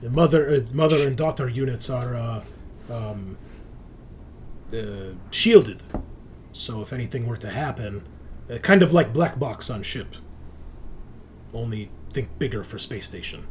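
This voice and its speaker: A man's voice: medium pitch, a little slow, pauses often, slight background noise, lightly acted. A man voicing a weary, ailing female military doctor who is focused and curt, with clipped phrasing, in a tabletop game. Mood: explanatory. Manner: clipped phrasing with dropped articles.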